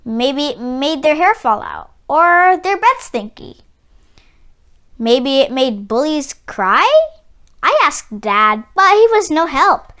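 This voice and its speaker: Female children's book reader, bright and lively, theatrical storytelling with emphatic key words. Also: high voice, slow pace. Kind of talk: expressive read-aloud storytelling.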